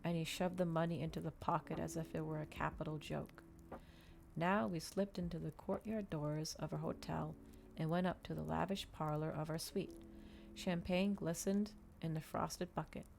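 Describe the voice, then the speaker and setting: A woman reading a novel aloud in a dramatic ASMR storytelling style, with measured, expressive delivery. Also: sits low, creaky, a little hiss or hum.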